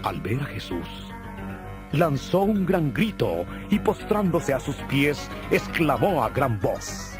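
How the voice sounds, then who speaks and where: Solemn male Bible narrator, mid-pitched. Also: sing-song. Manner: solemn, measured storytelling. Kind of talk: scripted narration of dramatized audio Bible. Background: music.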